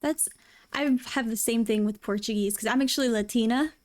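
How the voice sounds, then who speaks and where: Woman host, really high voice, casual chatty interview on a stream. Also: a little fast, darkish tone.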